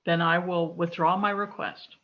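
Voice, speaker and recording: A man questioning the board in an online meeting, halting and correcting himself as he goes. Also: sits high, little pitch movement, highs cut off. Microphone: video call.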